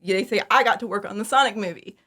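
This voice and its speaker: A woman's voice: medium pitch, quick pace, sharp tone. Chatty, enthusiastic woman. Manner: enthusiastic, giggly, informal. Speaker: podcast guest, fan audio drama creator. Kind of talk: casual chat, interview answers.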